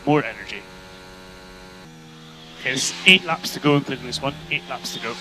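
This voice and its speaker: A man's voice: medium pitch, lively intonation, fairly quick, pushed and bright. A man working as a race engineer, giving terse, repeated instructions over motor racing team radio. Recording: noisy team radio with electrical hum.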